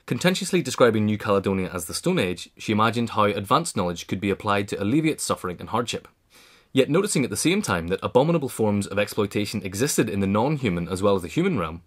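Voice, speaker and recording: A man reads a scripted biographical essay aloud as its narrator. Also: on the low side. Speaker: reader of an essay.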